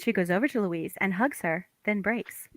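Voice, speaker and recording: A woman's voice: medium pitch, moderate pace, dry recording. A woman reading stage directions as scripted narration in a play table read.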